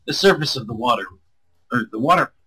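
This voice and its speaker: Husky, bright-voiced man reading aloud. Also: medium pitch, lively intonation, a little fast, rough recording. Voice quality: husky, strained throat. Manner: crude, joking, stumbles over lines. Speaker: streamer reading a visual novel aloud. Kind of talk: expressive read-aloud with casual banter.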